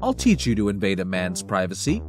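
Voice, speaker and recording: A man's voice: low-pitched, wildly swooping pitch, a little fast, music in the background. A man voicing a flustered, indignant homeowner in an audio short story. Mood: startled. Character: flustered, indignant male homeowner narrating his absurd ordeal.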